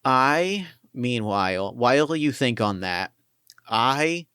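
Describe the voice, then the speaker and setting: A man running a tabletop game as game master, thinking aloud and pitching story ideas with eager restarts. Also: very animated, slow pace, sharp tone, smooth, no echo, studio quality. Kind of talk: collaborative planning for a tabletop game.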